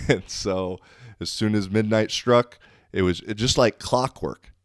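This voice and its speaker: Deep-voiced man telling a rambling story. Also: a little slow, no echo, studio quality. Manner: loose, profane, rambling with many fillers. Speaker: podcast host. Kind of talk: casual storytelling monologue.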